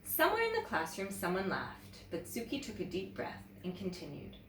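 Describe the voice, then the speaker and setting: A woman teacher reading a children's story aloud in an expressive, animated way, pausing to check in with listeners. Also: mid-range voice. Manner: expressive, pauses to ask listeners questions. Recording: roomy echo, distant mic, faint noise.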